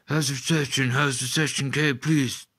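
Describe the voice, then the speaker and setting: An urgent male radio operator in a radio drama, acted by a man. He pushes his voice up high and loud, in clipped, repeated calls and emergency bulletins. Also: fairly even intonation, brisk, husky. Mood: anxious calling. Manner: clipped, repeating call signs. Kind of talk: amateur radio play acting.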